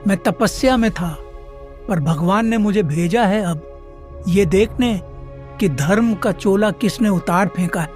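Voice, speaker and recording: A man's voice: high-pitched, slow pace. A man playing a stern, righteous holy ascetic with a solemn delivery in a cartoon story. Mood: stern, reproving. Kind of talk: dramatic cartoon story acting. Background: loud background music.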